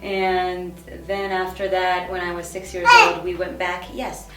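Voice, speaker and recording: A woman in her thirties, from Israel, talking in a casual interview, halting, with many fillers and restarts. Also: on the low side, little pitch movement, over loud music. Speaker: guest. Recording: echoey room, far from the mic.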